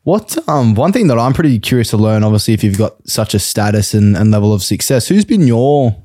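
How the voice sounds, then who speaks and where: A man's voice: fairly low pitch, expressive, fairly quick, dark tone. A man asking questions as a podcast interviewer in a casual, easygoing and straight-faced way.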